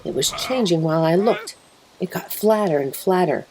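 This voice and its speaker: A woman voicing an anguished, plainspoken mother wolf in a dramatic first-person story reading, grave and emotive, with a husky, breathy voice. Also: on the low side, lively intonation, normal speed, warm tone, pitched above the performer's own voice, low-quality recording. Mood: hushed dread. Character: mother wolf telling of her husband's horrifying change, anguished, plainspoken.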